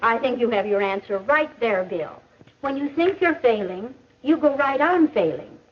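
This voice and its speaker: An American actress playing a patient, instructive female mentor in a 1950s educational film, explaining in a measured, didactic way. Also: a little high, lively intonation, husky. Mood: encouraging, instructive. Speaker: teacher or counselor in an educational film. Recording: muffled old 1950s film soundtrack.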